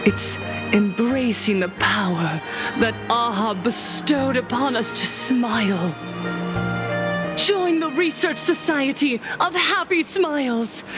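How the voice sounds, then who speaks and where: An actress playing a fervent woman cult preacher in a game cutscene, impassioned and sermon-like, sinking to whispers, with music behind her. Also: very high voice. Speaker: cult leader preaching to a crowd.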